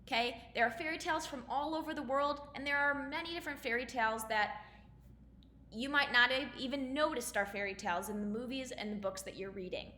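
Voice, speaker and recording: A woman's voice: high-pitched, brisk, sharp tone. A woman speaking as an elementary teacher, explaining and reading aloud in simple, instructive phrases for children. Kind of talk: explanatory lesson and storybook read-aloud. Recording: roomy echo, faint background noise.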